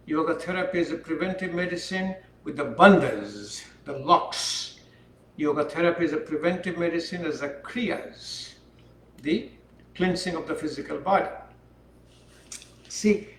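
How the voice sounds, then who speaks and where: A man teaching a yoga class, speaking calmly and unhurriedly while he demonstrates the poses. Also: on the high side, slight background noise. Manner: calm, unhurried instruction while holding poses. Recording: echoey room, voice from far off.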